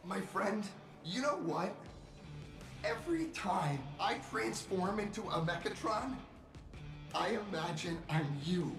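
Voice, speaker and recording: A slow-talking heroic young anime game hero, earnest, echoey with loud music. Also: male voice, high voice, animated, full character voice. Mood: earnest, sincere. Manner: earnest, declamatory. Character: earnest, heroic young male transforming superhero. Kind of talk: theatrical game hero acting. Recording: echoing voice under loud music.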